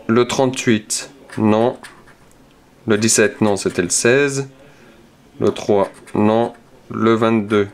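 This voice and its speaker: A man hosts a scratch-card video, calmly checking numbers one by one and muttering softly as he scratches. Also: lowish voice. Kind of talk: casual play-by-play while scratching tickets.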